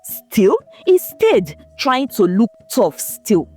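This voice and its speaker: A woman narrating a folktale in a scripted, expressive, dramatic storytelling manner. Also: on the high side, takes it slowly, husky, faint music behind.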